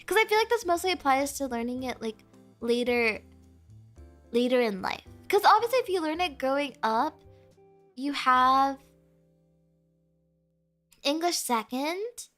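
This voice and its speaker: A young woman with a high, bright voice and a breezy Valley girl accent, her first language Spanish. She chats with her stream in a bubbly, giggly way, leaning hard on "like". Soft music plays faintly behind her. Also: expressive, measured pace, long pauses. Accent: American Valley girl. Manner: chatty, filler-heavy "like", giggly, bubbly. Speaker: streamer reading chat. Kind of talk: casual stream chat.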